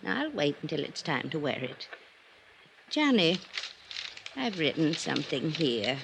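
An actress playing an ailing, aging society woman in an old-time radio drama, gravelly and stubbornly dismissive. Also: sits low, very animated, a little slow, full character voice. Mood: confiding. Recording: noisy old radio recording.

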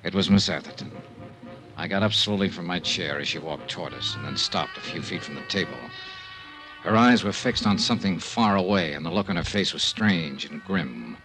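A man's voice: sits low, lively intonation, moderate pace, over music. An actor playing a weary, hardboiled male investigator in an old-time radio mystery, wry and clipped, also narrating in the first person. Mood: somber, wry. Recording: noisy old radio drama recording.

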